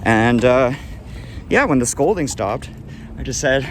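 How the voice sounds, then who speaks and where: A man's voice: medium pitch, animated, measured pace. Indignant man rambling at a bus stop. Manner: indignant, rambling, with repeated restarts. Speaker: vlogger. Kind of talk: rambling vlog monologue. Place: outdoors at a city street bus stop. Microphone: handheld camera. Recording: clear street traffic noise. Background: passing road traffic.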